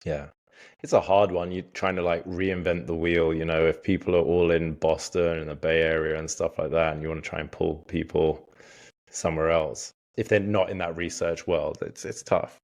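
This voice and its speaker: A man hosting a podcast, asking questions in a relaxed, chatty way and agreeing often. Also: sits low, moderate pace. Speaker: podcast host. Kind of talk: casual interview talk.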